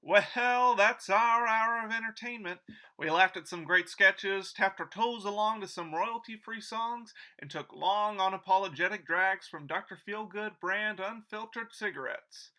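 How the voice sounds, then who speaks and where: A man's voice: high voice, unhurried, bright, forceful tone. A man playing an upbeat, showy host of an old-time radio variety show, speaking in announcer style. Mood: cheery sign-off.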